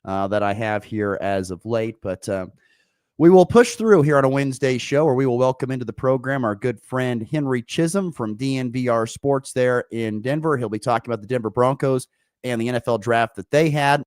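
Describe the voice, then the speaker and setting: A man hosting a local morning sports talk radio show, a bit hoarse from a sore throat, upbeat and rambling with many fillers. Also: mid-range voice, brisk, a little mellow, no room sound, studio quality.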